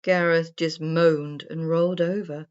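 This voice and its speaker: A woman narrating a rhyming children's story in a deliberate, sing-song storybook manner. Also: deep voice, steady tone, smooth. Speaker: story reader. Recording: dull, muffled lo-fi sound.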